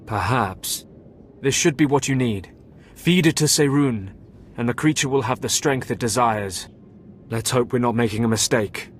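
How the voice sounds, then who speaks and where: An actor playing a remorseful keeper at a Sith academy, speaking in a measured, somber way. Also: male voice, mid-range voice, slight background noise, natural voice. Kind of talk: video game voice acting.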